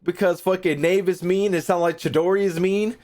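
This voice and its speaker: A woman's voice: fairly low pitch, steady tone, a little fast, warm tone. A woman streamer giving casual reaction commentary on a video game, talking in a blunt, slangy, profane way.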